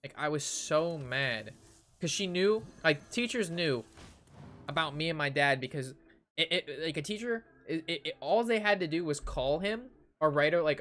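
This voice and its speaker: A man's voice: on the high side, a bit bright. A young man telling ranting, casual stories to his stream chat, swearing freely and repeating himself with exasperation. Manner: profane, exasperated, repeats phrases. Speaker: game streamer. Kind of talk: casual ranting storytelling to stream chat during card game.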